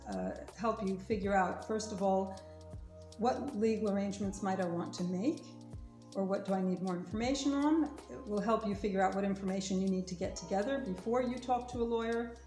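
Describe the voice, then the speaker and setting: A woman lawyer talks straight to viewers at a middle pitch, earnest and careful, urging them along. Her voice rings a little in a roomy space, with faint music underneath. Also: a little mellow. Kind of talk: informational talk to viewers.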